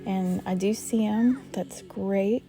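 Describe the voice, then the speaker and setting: A woman with a hoarse voice calmly narrating a life-simulation game as host of a let's play. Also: medium pitch, slow, faint music behind. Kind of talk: gameplay narration.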